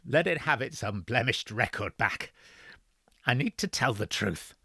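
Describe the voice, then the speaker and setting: A frail, dying old man, as a male narrator puts him on speaks gruffly and with weary insistence. The voice is slow and dry, with no room around it, in an audiobook-style reading. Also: medium pitch, expressive, pushed and bright, no room sound, voice pushed up, heavily acted. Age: older.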